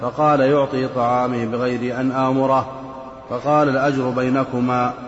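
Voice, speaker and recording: A man reading texts aloud as a student, in a slow, measured recitation. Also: mid-range voice, level tone, slight background noise. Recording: echoey hall, dull lo-fi, off-mic.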